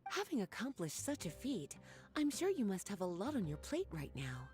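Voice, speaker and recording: Languid, teasing video game librarian, lively pitch. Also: female voice, moderate pace, soft background music. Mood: admiring, teasing. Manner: teasing, leisurely, coy. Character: languid, flirtatious, worldly woman librarian on vacation. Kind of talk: video game dialogue acting.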